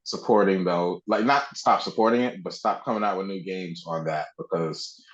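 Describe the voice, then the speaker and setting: Deep-voiced man talking games, dull audio. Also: moderate pace. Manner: informal, conversational, frequent "like". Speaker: podcast co-host. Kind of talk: casual video game discussion.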